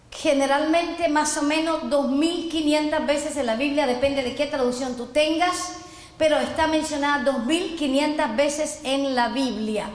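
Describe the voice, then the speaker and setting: A middle-aged woman from Chile giving an earnest sermon lesson, reading scripture and asking listeners to repeat after her. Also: sits high. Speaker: messianic apostle teaching. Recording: echoey hall, roomy long reverb.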